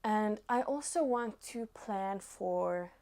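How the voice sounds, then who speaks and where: A woman in her twenties from Norway with a Norwegian accent, chatting casually to viewers as a knitting vlogger, pausing between short phrases. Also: medium pitch, mellow. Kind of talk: casual chat to camera.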